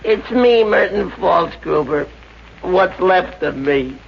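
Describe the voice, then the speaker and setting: A man's voice: high-pitched, animated, takes it slowly, vocal fry, a little hiss or hum, full character voice. An actor playing a gullible, hapless young man with a hesitant stammer, in a 1950s radio comedy. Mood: forlorn, dazed. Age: young adult. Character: gullible, hapless lovesick young man turned smug rich. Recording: muffled old radio recording.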